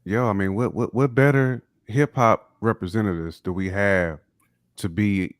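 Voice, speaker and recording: Deep-voiced male co-host in his forties, emphatic, dry studio sound. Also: moderate pace, no room sound. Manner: enthusiastic, emphatic praise with repeated words. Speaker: podcast co-host. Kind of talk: casual podcast chat.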